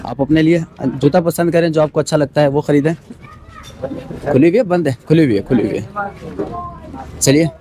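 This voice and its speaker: A man's voice: fairly high pitch, normal speed, pauses often. A man vlogging on a street, politely urging others along and repeating short phrases. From Pakistan. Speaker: charity vlogger. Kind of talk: casual vlog narration. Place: busy street and small shops outdoors. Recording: noisy street ambience with traffic.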